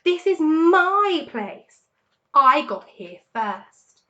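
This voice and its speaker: A woman voicing a small, sharp-faced male rat who snaps and shrieks his retorts in a children's story. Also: sits high, very animated, very slow, muffled recording, voice pushed up, exaggerated voice. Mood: indignant, shrieking.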